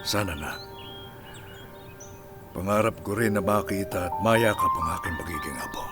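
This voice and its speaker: A man's voice: deep voice, moderate pace, close to an everyday voice. An actor playing an ailing elderly male company chairman who coughs and talks wearily, in a radio drama. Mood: weary. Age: older. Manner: coughing, weary. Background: music score.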